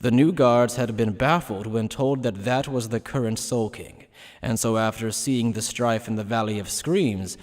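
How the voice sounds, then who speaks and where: A man narrating a fantasy anime fan dub as a solemn, even-toned storyteller. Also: medium pitch, moderate pace, natural voice. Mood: solemn, expository. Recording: long reverb on the voice.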